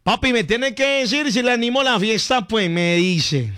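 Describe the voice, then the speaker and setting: A man's voice: very high-pitched, expressive, normal speed, background noise. A man with a Colombian Paisa accent hosting a call-in radio show, upbeat and playful, blessing his callers. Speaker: radio host. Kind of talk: lively call-in radio chat.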